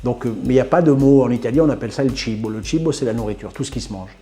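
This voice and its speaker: A man's voice: medium pitch, very fast, warm tone, faint music behind. A man in his sixties from northern France, a restaurateur telling his family story in an interview, thoughtful and often repeating words as he searches for them. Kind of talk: reflective interview talk.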